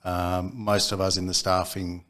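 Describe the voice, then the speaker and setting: Man, very low voice, CEO guest, measured, halting with ums. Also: little pitch movement, fairly bright tone. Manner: measured, frequent ums and repeated words. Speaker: company CEO, podcast guest. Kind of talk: casual business chat.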